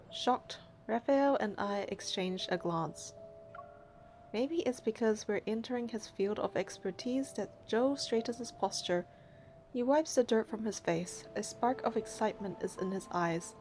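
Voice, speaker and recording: A woman's voice: medium pitch, darkish tone. A woman doing a game playthrough, reading narration and heroine lines aloud and commenting casually.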